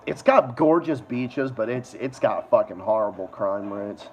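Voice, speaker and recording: A man with a Boston accent hosting a casual livestream, rambling and swearing as he chats, often trailing off quietly. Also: fairly low pitch, expressive, unhurried, soft background music. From Massachusetts. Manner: rambling, profane, trails off softly. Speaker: stream host.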